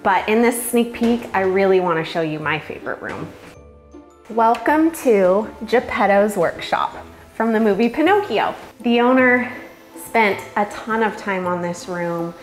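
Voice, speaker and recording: A woman's voice: medium pitch, a little slow. A woman in her forties giving an enthusiastic, promotional home tour to camera as a real estate agent. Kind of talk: upbeat promotional presentation to camera. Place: house porch and empty wood-panelled rooms. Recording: roomy echo, music underneath. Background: background music.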